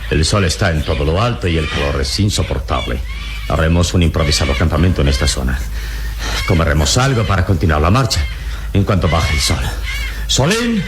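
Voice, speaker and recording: An actor playing a calm, gallant, commanding adventure hero in a radio drama, measured and courteous. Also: male voice, a bass voice, background noise. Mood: commanding, concerned. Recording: radio drama with loud music.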